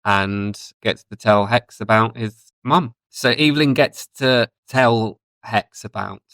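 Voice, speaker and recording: A man's voice: fairly low pitch, takes it slowly, a bit bright, dry recording. A man co-hosting a podcast in casual review chat, talking enthusiastically and thinking aloud as he goes. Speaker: podcast co-host.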